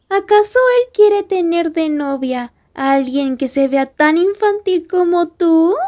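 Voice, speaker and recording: A woman plays a tough schoolgirl bully in an ASMR roleplay, jealous and sure of herself. Her voice is high, and she draws her words out slowly in a mocking, provocative way. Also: darkish tone. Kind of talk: ASMR roleplay acting in Spanish.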